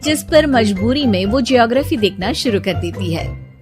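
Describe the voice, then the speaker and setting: A woman's voice: fairly high pitch, expressive, normal speed, music in the background. A woman narrating a scripted family cartoon story as its storyteller.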